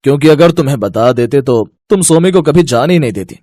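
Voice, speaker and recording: A man's voice: fairly high pitch, lively intonation, fast talker, mellow, dry recording, clean studio sound. Man acting a caring, earnest young husband. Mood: firm. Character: caring young husband and son, concerned and firm. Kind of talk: Hindi animated moral-story voice acting.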